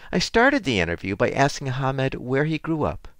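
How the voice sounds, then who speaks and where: A man hosting a community radio interview show, reading a measured, scripted introduction before questioning his guest. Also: mid-range voice, huge pitch swings, a bit bright, no room sound, clean studio sound.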